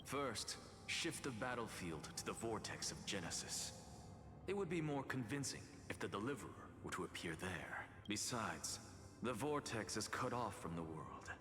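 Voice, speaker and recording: An actor playing a calm, wry, scheming male alchemist genius in a game. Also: on the low side, moderate pace. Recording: game audio with reverb and music.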